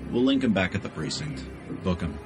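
Brisk deep male cop, matter of fact, loud music under him, sci-fi radio play. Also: sing-song. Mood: brisk, impatient. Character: brisk, unbending male police officer. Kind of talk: sci-fi audio drama acting.

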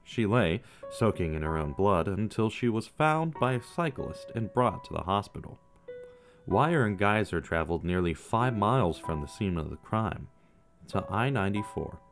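A man giving scripted true crime narration, measured, with casual asides to the viewer. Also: on the low side, big pitch swings. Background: faint guitar music.

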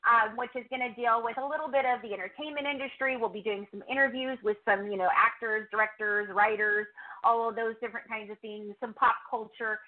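A woman's voice: a little high, steady tone, a little fast. A middle-aged woman chatting casually as a podcast-host guest in an interview. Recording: thin phone-like call audio.

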